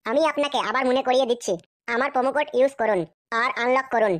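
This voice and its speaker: A woman's voice: sits high, steady tone, fast, dry recording. A woman giving a scripted promotional read as an advertiser.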